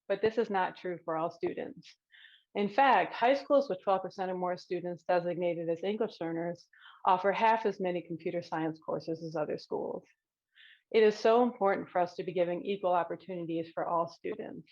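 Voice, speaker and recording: A woman's voice: fairly low pitch, moderate pace, darkish tone. A woman delivering a prepared, persuasive short talk in a firm manner. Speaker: competition presenter. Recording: dull lo-fi recording.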